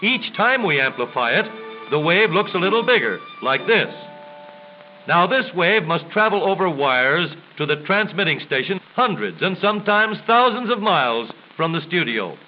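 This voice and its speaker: A man with a high-pitched voice narrates a 1930s educational film. He explains things in a formal, measured way. The soundtrack is old and muffled, with music playing clearly behind him. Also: big pitch swings.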